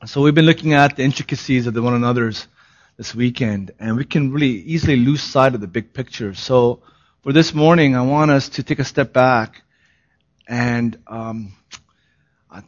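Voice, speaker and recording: A hoarse man preaching to his congregation, his voice at an ordinary middle pitch. He starts easygoing and laughing, then settles into an earnest, solemn sermon. The recording sounds a little dull and muffled. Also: normal speed. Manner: laughing, relaxed opening turning earnest and solemn. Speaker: pastor.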